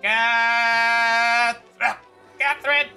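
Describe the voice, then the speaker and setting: A woman's voice: on the high side, steady tone, extremely slow, sharp tone, clean tone, faint music behind. A woman livestreaming a game with casual, rambling commentary, often stammering and swearing, on a streaming microphone.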